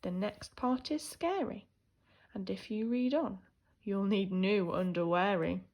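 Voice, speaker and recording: A woman teacher reading a children's picture book aloud in an animated, theatrical way, swinging between hushed and emphatic delivery. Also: mid-range voice, a little slow. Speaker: primary school teacher reading a story.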